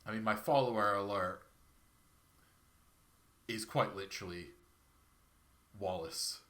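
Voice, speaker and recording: A man's voice: fairly low pitch, slow pace, a bit bright. Chatty Perthshire-accented man with scratchy throat. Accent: Perthshire Scottish. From Scotland. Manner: rambling, chatty, self-deprecating. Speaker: streamer. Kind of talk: casual chat while playing a game.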